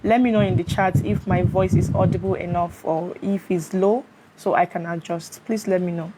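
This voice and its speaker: A woman's voice: lowish voice, normal speed, rough recording. A Nigerian woman hosting a live stream, chatting casually on a phone, greeting her viewers and checking they can hear her. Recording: steady fan noise behind.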